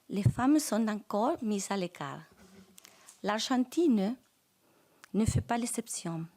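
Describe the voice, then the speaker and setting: A woman from Argentina with a Spanish accent, French not her first language, giving a measured, formal conference speech as guest speaker. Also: a little high, a little mellow.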